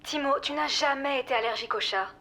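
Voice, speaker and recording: Actress as a sharp-voiced, exasperated ex-girlfriend. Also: high voice, quick pace, creaky, exaggerated voice. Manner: blunt, sarcastic, scolding. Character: exasperated, blunt female ex-partner, weary and firm. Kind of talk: audio fiction drama acting.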